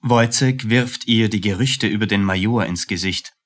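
Narrator casting: man with a fairly low voice for scripted linking narration. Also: normal speed.

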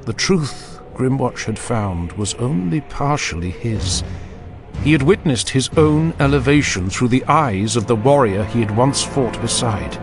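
A man narrating a dramatic fantasy audiobook in a grave, deliberate manner. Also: medium pitch, lively intonation, faint music behind.

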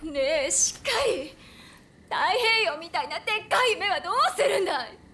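A woman's voice: extremely high pitch, fairly quick, bright, forceful tone, a little hiss or hum, heavily acted. A woman playing a spirited, caring young woman of old Japan in a video game, with a familiar, plain-spoken feminine manner. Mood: urgent, pleading. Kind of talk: video game cutscene acting.

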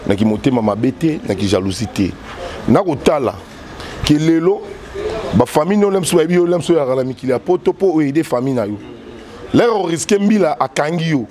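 A man's voice: fairly high pitch, lively intonation, fairly quick, dark tone. A middle-aged man with a Congolese accent, a former soldier and politician, talking emphatically in an interview. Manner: emphatic, gesturing, switching French and Lingala. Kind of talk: animated political talk. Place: indoors by a yellow wall. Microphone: furry handheld mic held close.

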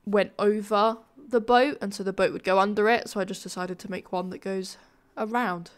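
Woman chatting over a game build video, kinda nasal n stuffed up, sore throat, medium pitch, thinks out loud. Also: fairly even intonation, moderate pace. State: ill with a sore throat, slightly flat voice. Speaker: gaming video creator, narrator. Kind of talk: casual speed-build commentary, chatty voice-over.

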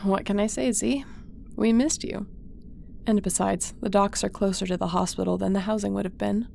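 Female space captain, heavy vocal fry, tender and loyal, sci-fi audio drama, faint noise. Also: mid-range voice, fairly even intonation, moderate pace, a little mellow. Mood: tender, reassuring. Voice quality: creaky. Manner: tender, reassuring, conversational. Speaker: voice actor. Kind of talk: audio roleplay acting.

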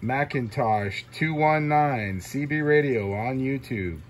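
A man's voice: mid-range voice, slow pace. A man working as a CB radio operator, making repetitive call-outs in short clipped phrases of call signs. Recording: noisy, radio static hiss.

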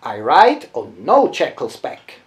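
Man reading as a slow, haughty little girl. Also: mid-range voice, huge pitch swings, voice pushed down. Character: haughty, tired little sun-child girl.

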